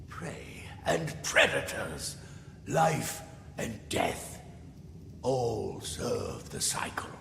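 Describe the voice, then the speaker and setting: A man playing a menacing, zealous fae war leader in a fantasy game, gravelly and breathy with hissed whispers and pompous, theatrical delivery. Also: medium pitch, a bit bright, full character voice. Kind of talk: fantasy game villain acting. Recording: echoey hall reverb over noisy ambience.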